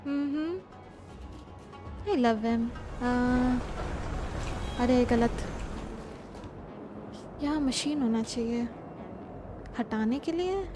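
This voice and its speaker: An Indian-accented woman streamer with a high voice, reading out and reacting to a game in a casual way. Game music plays clearly behind her. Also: brisk, pauses often. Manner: informal, mixes two languages. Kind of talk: casual live gameplay commentary, reading dialogue aloud and reacting.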